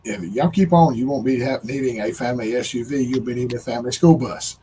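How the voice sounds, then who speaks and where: A man's voice: mid-range voice, fast talker, dark tone, poor audio. A man with a Southern US accent and a mid-range voice, recorded with faint noise behind him. He talks in a folksy, rambling, joking style, like someone swapping stories on a casual livestream. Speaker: livestream co-host. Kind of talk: casual chat and storytelling. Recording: noticeable background noise.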